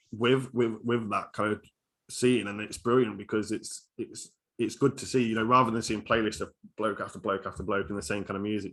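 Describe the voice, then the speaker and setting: Bright-voiced man hosting a casual interview. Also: on the low side. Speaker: music podcast host.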